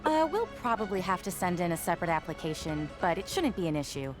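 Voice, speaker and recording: A woman's voice: on the low side, sing-song, fast, music in the background. A woman voicing a polite, practical, reassuring young inventor in a game.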